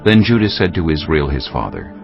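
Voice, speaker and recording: A man's voice: a bass voice, sing-song, a little fast, creaky. A man reading scripted narration for a dramatized audio Bible. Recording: slightly muffled, music behind.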